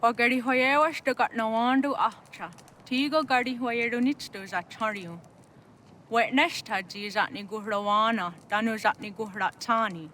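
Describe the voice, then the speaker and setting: A woman's voice: on the high side, normal speed, pushed and bright, a little hiss or hum. An actress playing a village woman in a dramatic historical video game cutscene. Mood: intent.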